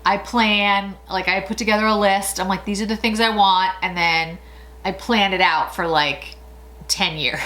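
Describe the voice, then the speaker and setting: A woman's voice: mid-range voice, fairly even intonation, moderate pace, bright, forceful tone. A woman in her late forties chatting casually through a makeup tutorial, rambling and laughing often. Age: 40s. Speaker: beauty vlogger.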